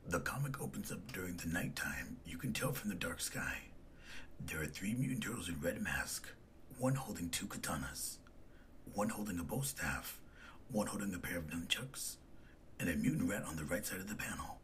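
A man's voice: low-pitched, lively intonation, normal speed, a bit bright, a little hiss or hum. A man giving scripted, matter-of-fact descriptive narration.

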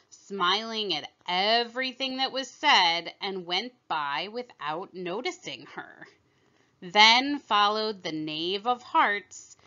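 A woman reading a children's story aloud in deliberate, expressive narration, on a slightly dull recording. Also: medium pitch. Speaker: storybook reader.

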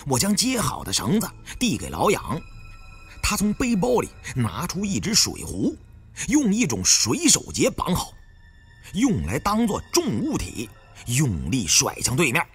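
A man's voice: fairly high pitch, huge pitch swings, unhurried, slightly rough. A man reading a novel aloud as a dramatised audiobook narrator. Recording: music behind the reading.

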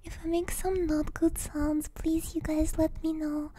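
A woman streaming ASMR, chatting to viewers in a soft, breathy, gentle whisper close to the microphone, with a playful manner. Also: very high-pitched, little pitch movement, measured pace, slight background noise. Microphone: close microphone, Blue Yeti.

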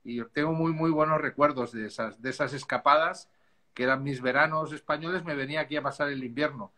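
Spanish-accented male winemaker, 50s, bright and a touch high, easygoing storyteller on a video call. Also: steady tone, a little fast. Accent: Spanish, softened by years in Chile. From Spain. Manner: chatty, rambling, laughs easily. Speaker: winemaker guest. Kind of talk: casual video call interview. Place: dark room at home. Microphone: video call, laptop camera.

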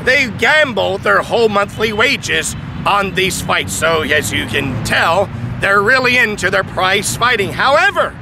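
A man's voice: high-pitched, expressive, a little slow, few pauses. A man in his thirties preaching in an open park at dusk, speaking into a handheld mic with emphatic, repetitive storytelling. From Thailand. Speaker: street preacher. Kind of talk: outdoor sermon storytelling. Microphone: handheld mic, camera at mid distance. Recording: outdoor recording with clear traffic noise. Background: city traffic.

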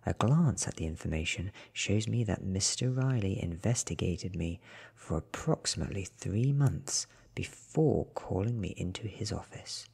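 A man reads a story in soft ASMR style, very deep and hushed. His voice is extremely breathy, often near a whisper, with a crackling fry underneath. He delivers each phrase slowly and deliberately. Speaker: reader. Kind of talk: soft-spoken ASMR audiobook reading.

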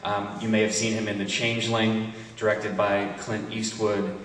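A man presenting a cast to an audience in a halting way, with frequent pauses. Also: fairly low pitch, monotone, fairly bright tone. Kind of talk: spoken introductions to an audience. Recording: echoey hall, distant microphone.

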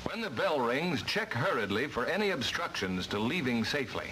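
A man's voice: medium pitch, big pitch swings, normal speed, pushed and bright, a little hiss or hum, low-quality recording. A man reading formal, precise instructional narration for a vintage training film, on a worn old soundtrack.

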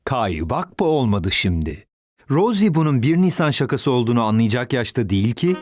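A man in a children's cartoon dub voicing a patient, gentle father who explains things kindly. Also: medium pitch, very animated, normal speed, no echo, studio quality, faint music behind, lightly acted. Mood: mildly reproving. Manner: kindly explaining, mildly reproving.

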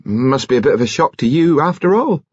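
A man voicing a flustered, warm-hearted village nurse woman in an audiobook, effusive and exclamatory. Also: fairly low pitch, sing-song, normal speed, slightly rough, dry recording, lo-fi sound, exaggerated voice. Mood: concerned.

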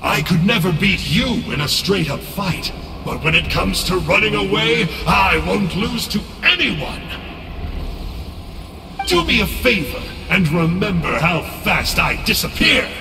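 A man's voice: high-pitched, very animated, vocal fry, sharp tone, far from the mic, full character voice. A man playing a slippery, smugly philosophical monster clerk in a video game, theatrical and musing, with an echoing effect on his voice. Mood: defensive, boastful. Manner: theatrical, smug, drawn-out musing. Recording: echoey cavernous reverb, music behind.

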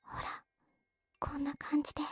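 A woman playing a gentle, doting older sister in an anime ASMR roleplay, speaking softly and breathily, often in a whisper. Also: moderate pace, lightly acted. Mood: soothing, doting.

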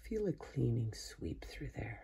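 A man softly guiding a sleep meditation in a hushed, breathy voice that often sinks into a whisper, slow and soothing with long pauses. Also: mid-range voice, very animated. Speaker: meditation guide. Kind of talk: guided sleep meditation, soft-spoken.

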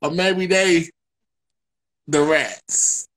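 A man's voice: on the high side, very slow, fairly bright tone, rough recording. A man in his forties co-hosting a casual live video chat from home, joking and teasing in slangy banter, on a laptop mic. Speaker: co-host. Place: indoors at home. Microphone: laptop camera on video call.

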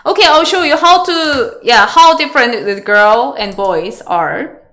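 A Korean woman in her thirties, a Go teacher answering chat questions on a webcam video call, chatty and halting in English with a Korean accent. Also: on the high side, bright, forceful tone. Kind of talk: live online lecture Q&A. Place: small room indoors.

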